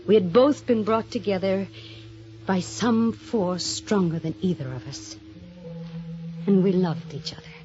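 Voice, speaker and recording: Actress as a reckless radio murderess, muffled, music swelling. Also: female voice, medium pitch, a little slow, long pauses, close to an everyday voice. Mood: brooding narration. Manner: dramatic, intense. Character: secretive, reckless murderess narrating her own crime. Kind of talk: 1950s radio mystery acting, first-person narration. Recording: dull old radio recording, loud music behind.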